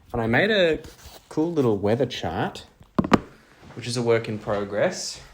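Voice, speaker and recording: Man, medium pitch, solo role-play host, storytelling and casual game commentary, self-deprecating. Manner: thinks aloud while playing, self-deprecating asides. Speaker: host and player of a solo role-playing audio show.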